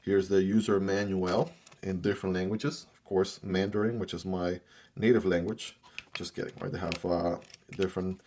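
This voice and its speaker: Upbeat guy reviewing gear, spanish is his first language, kinda low voice, says "right?" a lot. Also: little pitch movement, moderate pace, a little mellow, a little hiss or hum. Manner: chatty, upbeat, often adds "right?". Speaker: product reviewer. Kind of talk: casual unboxing review.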